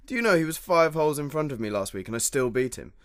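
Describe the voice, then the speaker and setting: An actor playing a well-bred Edwardian general and devoted husband with a British accent, in a radio play. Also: male voice, on the high side, big pitch swings, a little fast, fairly bright tone, smooth. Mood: genial teasing.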